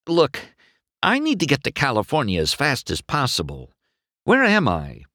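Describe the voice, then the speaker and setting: Man playing brash male race car, impatient, slick, flustered, raised and very animated pitch, audiobook character. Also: dry recording, clean studio sound, heavily acted. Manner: fast-talking, stammering when flustered, wheedling.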